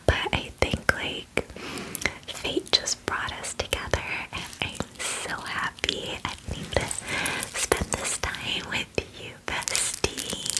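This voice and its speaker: A woman's voice: close to an everyday voice, rough recording. A woman voicing a friendly, doting female dragon in a whispered ASMR fantasy roleplay, with gushing, hesitant, filler-heavy chatter. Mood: tender, soothing. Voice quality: breathy whisper.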